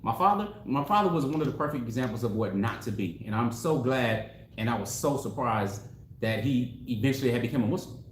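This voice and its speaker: A man in his forties, a relationship coach, telling stories in a casual, slangy, animated way with frequent laughs. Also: mid-range voice, a little fast, slight background noise. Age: 40s. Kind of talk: casual discussion. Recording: echoey room.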